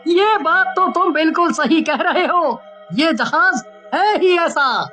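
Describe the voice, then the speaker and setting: Woman doing a boastful cartoon townsman, high voice, takes it slow, bit of music behind. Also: a bit bright, heavily acted. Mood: delighted. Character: cartoon townsmen, boastful, easily excited family men. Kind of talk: cartoon story dialogue.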